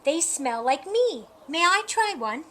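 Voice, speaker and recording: A woman's voice: high-pitched, big pitch swings, unhurried, sharp tone, a little hiss or hum, heavily acted. A woman voicing a friendly, nosy female skunk from a children's storybook, sweet and insistent. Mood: hopeful.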